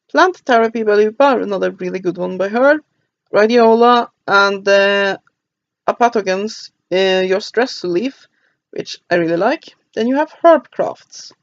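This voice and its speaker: Brief: Norwegian woman, casual book reviewer, hesitant and halting, dry and slightly dull home recording. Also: medium pitch, a little mellow, dry recording. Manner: halting, with frequent "uh" and stumbles over names. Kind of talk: casual book review, reading a table of contents.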